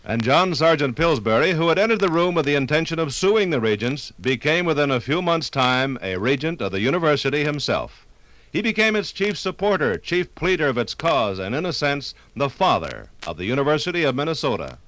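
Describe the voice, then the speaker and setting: Animated American man narrating vintage radio documentary. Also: fairly high pitch. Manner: formal, theatrical, measured phrasing. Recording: vintage 1950s radio recording.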